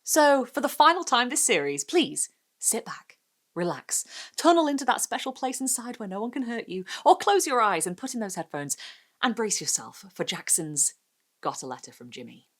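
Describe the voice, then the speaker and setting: Theatrical, highly animated woman narrator. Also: moderate pace. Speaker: host and story narrator.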